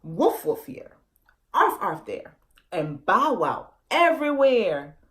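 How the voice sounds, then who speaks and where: A woman voicing storybook animal families who bark out a search call in loud, rhythmic chanted words. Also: mid-range voice, sing-song, takes it slowly.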